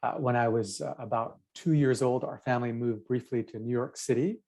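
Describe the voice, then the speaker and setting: A middle-aged man from Japan, a pastor, telling his life story in a measured, reflective way in an interview. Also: medium pitch, fairly even intonation, a little mellow. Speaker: pastor and author.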